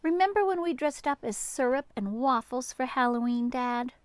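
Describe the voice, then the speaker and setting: Woman reading as an eager, high-voiced little boy. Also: expressive, normal speed, clean tone, no room sound. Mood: wondering. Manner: eager, wondering, tender. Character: loving, curious, earnest young boy. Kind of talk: picture-book read-aloud.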